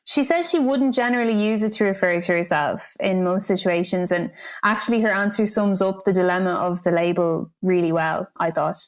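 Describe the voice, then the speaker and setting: A woman's voice: fairly low pitch, brisk. A woman presenting a podcast in a conversational style, reporting and commenting as a co-host.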